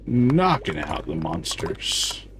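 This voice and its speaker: A man's voice: low-pitched, very animated, slow pace, background noise. A man hosting a livestream with casual, playful gameplay commentary, half talking to himself. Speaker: livestream host.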